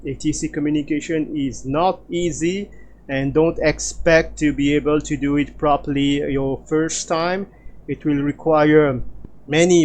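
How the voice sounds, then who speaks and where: Slow, halting man giving a tutorial. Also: on the high side, a little mellow. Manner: hesitant, halting delivery with frequent filler pauses. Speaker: flight simulator instructor. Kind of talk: explanatory tutorial narration.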